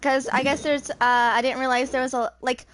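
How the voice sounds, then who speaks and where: Woman, twenties, higher-pitched voice. Casual gaming video creator, rambles and thinks aloud with hesitant delivery. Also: flat delivery, brisk, a bit bright. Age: 20s. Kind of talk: casual rambling gameplay commentary.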